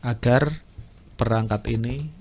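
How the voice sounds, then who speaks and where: A man's voice: medium pitch, darkish tone, a little hiss or hum. A man giving an informal spoken tutorial, explaining patiently and repeating himself as an instructor does. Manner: unhurried explaining with repetition and throat clearing. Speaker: audio repair instructor.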